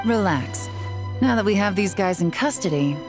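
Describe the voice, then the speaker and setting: An actress playing a calm, worldly, self-assured woman in a video game, composed and measured as she explains. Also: mid-range voice, over music, natural voice.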